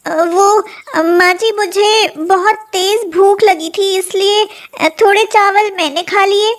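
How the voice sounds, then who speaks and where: A very high, sweetly wheedling young daughter-in-law acted by a woman storyteller. She is sly and food-loving, and puts on wide-eyed innocence in an unhurried storybook delivery. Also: fairly even intonation. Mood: flustered. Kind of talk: animated moral story acting.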